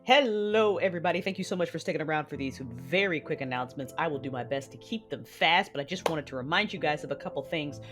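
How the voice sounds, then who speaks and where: A woman's voice: deep voice, fast, hardly any pauses, fairly bright tone. A woman hosting an audio drama podcast, reading announcements and credits in a chatty, inviting way. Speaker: host and producer of an audio drama. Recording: music behind the voice.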